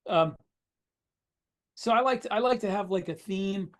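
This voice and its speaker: A man co-hosting a podcast, talking informally and haltingly, often repeating his words. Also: high voice, a bit bright. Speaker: podcast co-host, science fiction author. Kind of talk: casual podcast chat.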